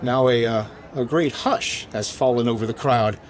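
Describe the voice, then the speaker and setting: An actor playing an excitable, jovial male announcer giving live commentary on a parade in a 1950s-style radio drama. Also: mid-range voice, moderate pace, close to an everyday voice. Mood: hushed awe. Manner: chuckling, stammering ad-libs, theatrical announcing. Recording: crowd noise behind the voice. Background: outdoor crowd.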